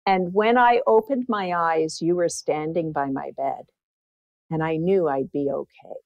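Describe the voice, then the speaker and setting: A woman's voice: deep voice, unhurried, no room sound, lo-fi sound. A woman guest and former teacher with a big voice, telling personal stories in an interview. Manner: big voice, anecdotal storytelling. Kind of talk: interview storytelling.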